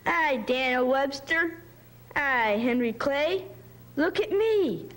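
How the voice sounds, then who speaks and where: Storybook kid: a curious young boy, high voice, unhurried, full of questions, played by a woman narrator. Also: expressive, exaggerated voice. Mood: eager. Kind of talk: storybook reading.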